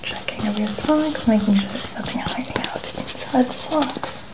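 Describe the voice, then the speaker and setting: A soft-spoken woman in an ASMR role-play as a security officer, politely narrating each step and often dropping into a whisper. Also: a little high, moderate pace. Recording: clearly noisy track with clicking handling sounds.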